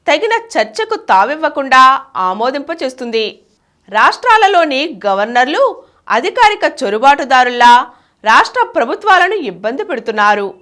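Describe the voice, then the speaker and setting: A woman's voice: high voice, a bit bright. A woman reading a written essay aloud as formal scripted narration, stressing key terms.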